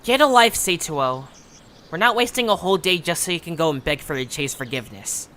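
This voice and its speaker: Casting: man as cocky, sarcastic young adventurer for audio cartoon, bright forceful tone, lively swings in pitch, brash. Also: brisk. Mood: scornful. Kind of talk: animated audio drama acting.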